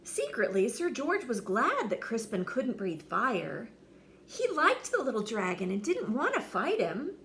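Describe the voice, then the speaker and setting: A woman reading a children's story expressively, with theatrical delivery and dramatic pauses. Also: a little high, wildly swooping pitch, a bit bright, slight background noise. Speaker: storybook reader.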